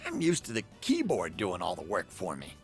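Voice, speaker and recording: A man's voice: low-pitched, wildly swooping pitch, moderate pace, gravelly, faint music behind, exaggerated voice. An actor playing a coy, teasing, eccentric man in a video game, with a theatrical delivery. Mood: chatty. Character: playful, teasing, eccentric letter-writing man.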